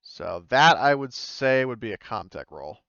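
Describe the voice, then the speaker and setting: A man acting as game master of a tabletop roleplaying game, improvising casually with halting explanations. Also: mid-range voice, very animated, gravelly, a bit bright, smooth, no echo, dull audio.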